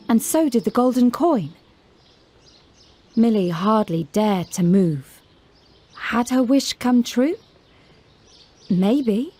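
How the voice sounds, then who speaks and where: A woman's voice: a little high, a little mellow. A woman narrating a scripted children's story as a storyteller.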